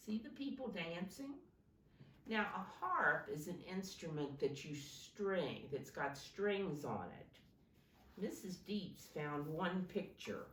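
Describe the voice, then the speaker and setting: A woman reading a picture book aloud to young children like a teacher, explaining simply and prompting her listeners. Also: deep voice. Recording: roomy, distant microphone, faint background noise.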